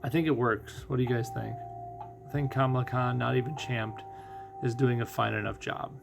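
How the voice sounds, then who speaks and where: A man giving casual game commentary as host of a playthrough, thinking out loud and putting questions to himself. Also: medium pitch, steady tone, normal speed, vocal fry. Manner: thinks out loud, asking and answering own questions. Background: music.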